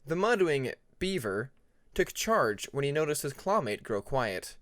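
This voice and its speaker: A man reads scripted fiction as an audiobook narrator. His medium-pitched voice moves in an animated way through deliberate, dramatic phrases. The recording is dry and free of room sound. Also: dry recording.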